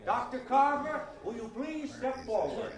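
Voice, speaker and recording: High, oratorical committee chairman. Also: animated, a little slow, exaggerated voice. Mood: searching, calling out. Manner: oratorical, formal proclaiming. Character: formal, authoritative male committee chairman, orderly and official. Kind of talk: radio drama acting. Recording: crowd murmur in a chamber.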